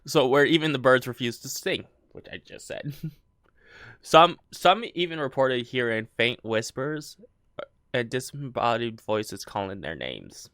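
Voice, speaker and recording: Man with a lively pitch, stumbling as he reads. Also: unhurried, no room sound, studio quality. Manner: stumbling, laughs often, many fillers. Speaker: podcast co-host. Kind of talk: casual chat, reading a story.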